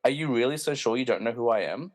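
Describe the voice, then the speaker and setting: A man's voice: mid-range voice, animated, fast, a little mellow, lightly acted. An actor playing a bitter, villainous snobbish alter ego in a radio play, pompous and self-important as he rants. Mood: smug, menacing. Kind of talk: hobby podcast radio play acting.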